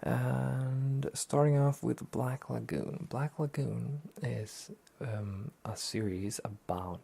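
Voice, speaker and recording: A soft-spoken man with a breathy, gravelly voice, giving a casual, low-key review as a rambling, hesitant talk show host. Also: slow.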